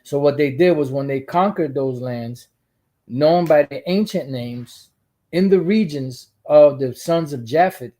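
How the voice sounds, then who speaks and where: A man's voice: fairly high pitch, a little slow, warm tone. A man hosting his channel, giving casual religious commentary and reading out scripture in a rambling way, full of asides and restarts. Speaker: channel host.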